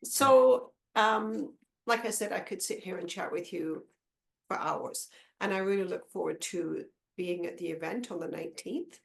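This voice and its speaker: A woman hosting an interview, warmly effusive with praise. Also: lowish voice, a little slow, creaky. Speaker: podcast host.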